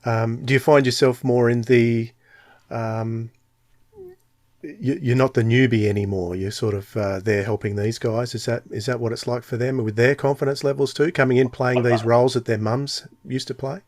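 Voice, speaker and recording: Male interviewer, mid-pitched, dry close sound. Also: steady tone, moderate pace, a little mellow, no echo. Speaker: interviewer, podcast host. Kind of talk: conversational interview.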